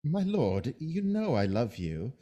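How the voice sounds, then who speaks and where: An actor playing a scheming soldier who feigns honesty, in measured, insinuating Shakespearean verse for an audio drama. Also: male voice, medium pitch, sing-song, mellow. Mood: cautious, insinuating.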